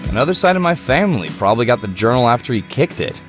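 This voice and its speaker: A man's voice: mid-range voice, sing-song, fast talker, music in the background, natural voice. A man voicing a laid-back, teasing young slacker in a visual novel, easygoing and wry. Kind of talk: visual novel character voice acting.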